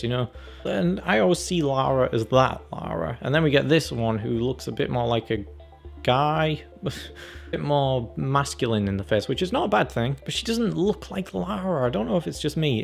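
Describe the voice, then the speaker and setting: A man's voice: mid-range voice, gravelly. A man giving casual, opinionated video commentary as a review vlogger, in a rambling, conversational way. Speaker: film and game review vlogger.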